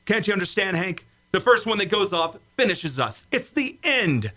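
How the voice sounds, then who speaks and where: An amateur actor playing a frantic young test pilot in a radio play, urgent and pleading. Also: male voice, sits high, very animated, normal speed, sharp tone, exaggerated voice. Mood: pleading, desperate.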